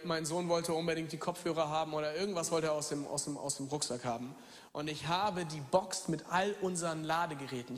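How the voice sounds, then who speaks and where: A pastor in his thirties from Germany preaching a lively sermon, moving from loud calls to hushed near-whispers and speaking straight to his listeners. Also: on the high side, big-room sound. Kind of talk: lively sermon with personal stories.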